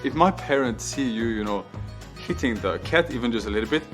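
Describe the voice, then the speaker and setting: A young man with a Swedish accent, talking casually with his partner in an animated, exasperated way. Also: mid-range voice, fairly quick, over music. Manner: animated, exasperated ranting with swearing. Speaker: vlog host. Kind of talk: casual couple chat.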